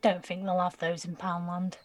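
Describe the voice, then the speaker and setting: An actress playing a blunt, cheeky, streetwise nineteen-year-old woman who talks in clipped slang with a slightly husky voice in an audio drama. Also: on the low side, monotone, normal speed, lightly acted. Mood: curious. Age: young adult. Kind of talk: naturalistic audio drama dialogue.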